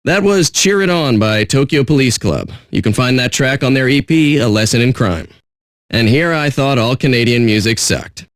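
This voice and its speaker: An actor playing a jaded pirate radio DJ with a sardonic, blunt, profane way of talking. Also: male voice, mid-range voice, animated, normal speed, dry recording, clean studio sound, lightly acted. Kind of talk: irreverent radio DJ patter.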